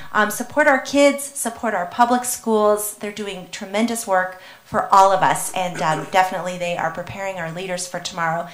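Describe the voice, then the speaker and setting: Councilwoman, mid-pitched, earnest and encouraging, echoey chamber. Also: fairly bright tone. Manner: earnest, encouraging, speaks up with conviction. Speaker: city councilmember. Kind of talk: council meeting remarks.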